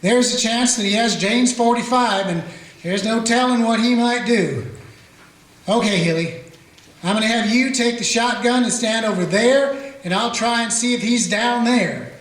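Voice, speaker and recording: A man playing a small-town Old West sheriff in a radio play, also narrating in a plain, calm folksy way. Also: very high voice, moderate pace. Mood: steady. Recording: echoey room.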